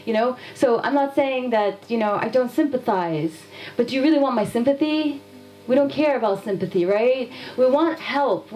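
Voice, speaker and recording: A woman's voice: a little high, darkish tone, low-quality recording. A woman from Afghanistan, giving an interview answer in a direct, challenging way with rhetorical questions. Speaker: guest from a nonviolence institute.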